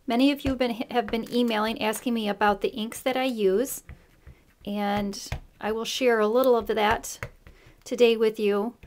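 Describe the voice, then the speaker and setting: A chatty woman hosting a craft tutorial at her work table, her voice pitched a touch high and slightly rough. She explains each step in a relaxed, friendly way with plenty of fillers. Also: slight background noise. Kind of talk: casual stamping tutorial.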